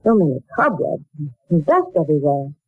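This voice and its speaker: A woman's voice: deep voice, sing-song, fairly quick, husky, no echo, faint music behind, heavily acted. An actress playing a polite, earnest young woman seeking office work, hesitant and deferential, in 1940s radio acting. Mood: puzzled, earnest. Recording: tinny, band-limited 1940s radio recording.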